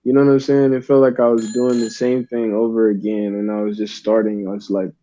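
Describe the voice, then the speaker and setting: A man's voice: lowish voice, little pitch movement, brisk, dark tone. A young man from Uganda, a guest artist, talking in a laid-back, rambling way with many fillers. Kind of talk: casual interview chat. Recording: dull lo-fi dry sound.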